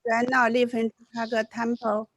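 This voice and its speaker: An older Buddhist nun from China with a Chinese accent, introducing herself haltingly in broken English on a video call. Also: female voice, on the high side, monotone, slight background noise, rough recording. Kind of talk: class self-introduction.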